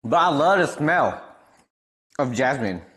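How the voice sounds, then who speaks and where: A man's voice: mid-range voice, slow pace. A young man from Wisconsin with a Midwestern accent, chatting casually with his stream viewers, rambling with lots of fillers. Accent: Midwestern American. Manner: laid-back, rambling, lots of fillers, animated when amused.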